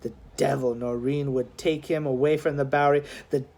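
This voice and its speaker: A woman reading a children's historical novel aloud as expressive scripted narration. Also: very deep voice, normal speed. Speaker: audiobook reader.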